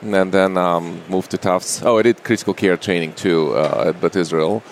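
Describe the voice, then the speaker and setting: A man's voice: sits low, moderate pace, a little hiss or hum. A middle-aged man with a Russian accent, speaking as a guest in a casual interview. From Russia, Saint Petersburg.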